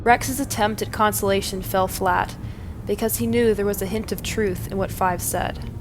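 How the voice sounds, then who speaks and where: A woman's voice: mid-range voice, fairly even intonation, normal speed. A woman reading scripted, dramatic fiction narration as the storyteller. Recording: music bed under the narration. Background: music.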